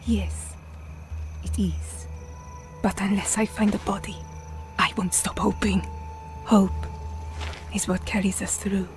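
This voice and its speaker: An actress playing a resolute Italian partisan with an Italian accent, speaking softly and earnestly in a game cutscene. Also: female voice, mid-range voice, little pitch movement, slow pace, long pauses, soft background music, close to an everyday voice. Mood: sorrowful, hopeful. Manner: earnest, grave, restrained. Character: resolute, grieving female Italian resistance fighter. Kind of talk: dramatic video game cutscene acting.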